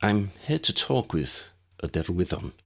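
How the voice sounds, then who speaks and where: A man's voice: low voice, big pitch swings, measured pace, creaky, fairly bright tone, exaggerated voice. A man voicing an assured wizard professor in a fantasy story, confident and matter-of-fact. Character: assured male wizard professor leading the group.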